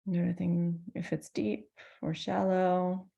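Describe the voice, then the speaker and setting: A woman leads a guided meditation in a soft-edged, mellow voice with a good deal of creak. Her pitch sits slightly low. She speaks gently and soothingly, leaving space between phrases. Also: level tone, slow, muffled recording. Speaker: meditation teacher.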